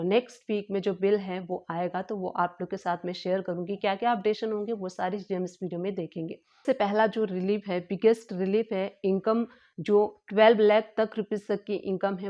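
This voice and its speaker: A woman explaining tax news in a casual, hesitant lesson, pausing with fillers and repeating herself. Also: medium pitch, warm tone. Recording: slightly dull lo-fi home recording.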